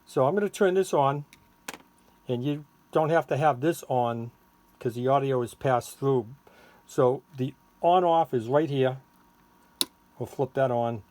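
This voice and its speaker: A man giving a casual hands-on product demonstration as a seller, halting and thinking aloud while he works the controls. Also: mid-range voice, a little mellow. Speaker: seller demonstrating a homemade electronics gadget. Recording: clearly noisy, with electronic tweets and siren-like tones.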